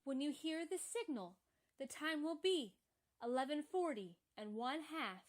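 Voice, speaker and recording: A woman's voice: high voice, animated, a little slow. An actress playing a distraught, ashamed young female telephone operator in a radio play. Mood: flat, formal announcing. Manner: pleading, dramatic.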